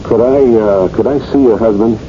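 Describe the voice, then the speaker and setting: A muffled old radio drama recording of a man acting an earnest police lieutenant. His voice is very dark and on the low side, and he presses on in a halting, dogged way. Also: slight background noise, natural voice. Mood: puzzled, probing.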